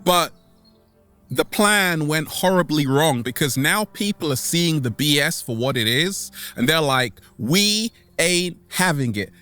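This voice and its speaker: A man hosting a vlog as a comedic monologue to camera, theatrical, with dramatic pauses and repeated catchphrases. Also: a little high, expressive, sharp tone, soft background music. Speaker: vlog host.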